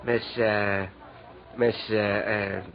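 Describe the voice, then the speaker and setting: An actor playing a flustered, excitable department store manager in a stammering 1940s radio comedy style, on a muffled old recording. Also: male voice, lowish voice, extremely slow. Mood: smitten.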